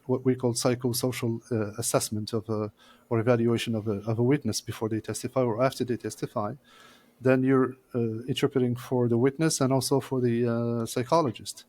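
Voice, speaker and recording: A man's voice: mid-range voice, a little mellow. Male guest, mid-range voice, patient and explanatory, hesitant fillers. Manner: measured, explaining step by step with frequent "uh" pauses. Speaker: court interpreter, guest. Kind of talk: explanatory interview answers.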